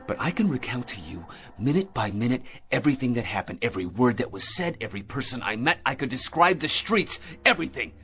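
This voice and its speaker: An actor playing a shaken male astronaut major in a radio drama, speaking in a halting, earnest way. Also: medium pitch, sing-song, sharp tone. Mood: urgent, insistent.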